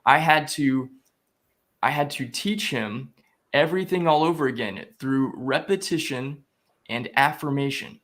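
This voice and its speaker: A man, a guest on a talk show, telling a story casually in an animated way, quoting dialogue as he goes. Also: medium pitch, measured pace. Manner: animated retelling with quoted dialogue. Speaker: guest, spiritual growth coach.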